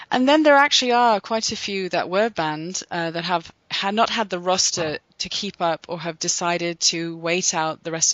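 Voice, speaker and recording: Podcast host recapping with measured delivery. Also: mid-range voice, fairly bright tone, no room sound, lo-fi sound. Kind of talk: scripted recap then casual introductions.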